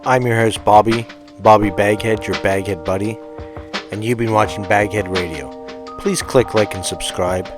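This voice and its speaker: A man's voice: fairly low pitch, steady tone, normal speed. A man speaking as a channel host in a scripted sign-off style. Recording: loud music under the voice.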